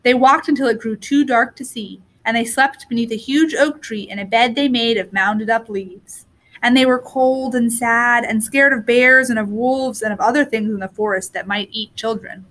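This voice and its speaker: High-voiced woman reading a storybook. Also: normal speed, fairly bright tone. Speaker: storybook reader. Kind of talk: expressive scripted storytelling.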